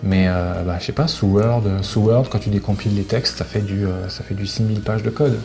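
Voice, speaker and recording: A man giving a casual interview as a guest, speaking in a hesitant, halting way and often restarting his phrases. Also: on the low side, fairly even intonation, a little mellow. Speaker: video game translator, interview guest. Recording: clearly noisy background ambience.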